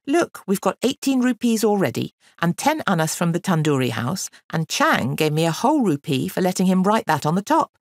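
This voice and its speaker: A woman plays a young scamp from a children's story. He is breezy, glib and falsely innocent, with very lively pitch movement and a close, dry sound. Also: fairly quick, few pauses, no echo. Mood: breezy scheming. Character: cheeky, innocent-sounding scheming young boy elephant handler.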